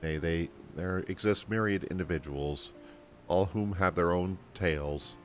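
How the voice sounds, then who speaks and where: A man's voice: low voice, measured pace, faint music behind. A man voicing an earnest female storyteller from a fantasy game, reading her dialogue in formal, archaic, kindly phrasing. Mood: solemn storytelling. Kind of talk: game dialogue read aloud.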